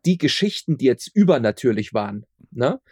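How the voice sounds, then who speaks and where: A man's voice: mid-range voice, very animated, brisk, darkish tone, slightly rough, no room sound, clean studio sound. A young man who works as an audio drama maker, chatting casually in an interview and often repeating his words for emphasis. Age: young adult.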